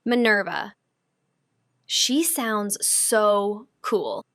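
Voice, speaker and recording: An actress playing a giddy, starstruck young woman in an audio drama, gushing in drawn-out phrases. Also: medium pitch, fairly bright tone, no room sound, studio quality. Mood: awed, eager.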